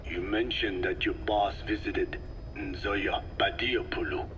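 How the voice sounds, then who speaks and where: A man playing an elderly, grave scientist in a video game, explaining things slowly and formally in a breathy, whispery voice. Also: low voice. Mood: probing, sober. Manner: slow, deliberate, formal explanations. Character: elderly male scientist, frail, grave and measured. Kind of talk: dramatic video game voice acting. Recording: noisy field tape with steady hum.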